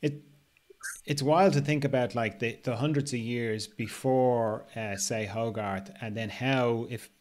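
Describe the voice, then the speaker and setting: A man's voice: medium pitch, steady tone, measured pace, darkish tone. A man in his sixties hosting a casual interview, musing and a little hesitant as he talks. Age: 60s. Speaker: podcast host, interviewer. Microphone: video call, desk microphone.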